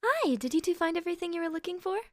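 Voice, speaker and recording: A woman's voice: very high-pitched, animated, very fast. A woman playing a polite, chatty cashier in a roleplay audio, perky and accommodating with customer-service courtesy. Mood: friendly. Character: polite, chatty young female shop cashier.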